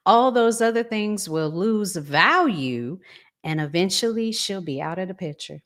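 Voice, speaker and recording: A woman's voice: mid-range voice, animated, a little slow, fairly bright tone. A woman co-hosting a relationship podcast, talking in a candid, colloquial, conversational way. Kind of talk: casual conversational talk, reads out listener comments.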